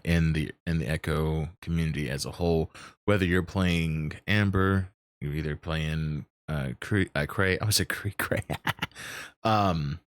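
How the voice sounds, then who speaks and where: A man with a low voice chats as the host of a casual stream. He is animated and profane, often tripping over and repeating words. The sound is dry and close to the microphone. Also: no echo. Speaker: podcast host and role-play streamer. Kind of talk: casual, unscripted interview chat.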